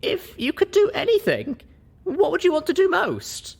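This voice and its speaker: Young pony heroine , played by a male reader: falsetto-high, earnest, flustered, storybook character. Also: big pitch swings, moderate pace, gravelly, exaggerated voice. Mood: concerned, earnest. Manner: flustered, hesitant.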